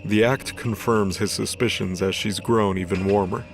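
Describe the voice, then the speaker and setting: Guy with lowish voice reading dramatic story narration, music behind him. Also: fairly even intonation, moderate pace, creaky.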